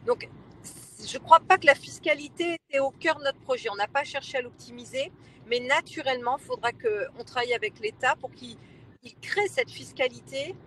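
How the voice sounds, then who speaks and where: A woman, a local elected official, explaining her position in a panel discussion, emphatic and persuasive, with hesitant pauses. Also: high-pitched, fairly bright tone, slight background noise, low-quality recording.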